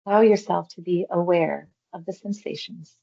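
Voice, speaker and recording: Low-voiced woman guiding meditation, gravelly creak. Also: muffled recording. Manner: gentle, unhurried, reassuring, with pauses between short phrases. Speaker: mindfulness exercise guide. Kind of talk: guided meditation, body scan instruction.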